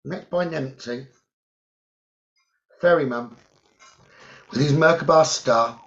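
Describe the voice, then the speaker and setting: A man leading a guided meditation in short, halting phrases with long pauses and a creaky voice. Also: fairly high pitch, fairly bright tone. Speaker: guided meditation leader, psychic medium. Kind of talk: slow guided meditation narration. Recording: dull, lo-fi sound.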